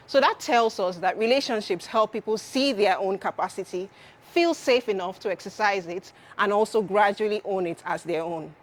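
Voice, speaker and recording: A woman delivers a prepared conference talk in a mid-pitched voice. She explains each point in turn and repeats key phrases to underline them. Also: moderate pace. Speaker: conference presenter.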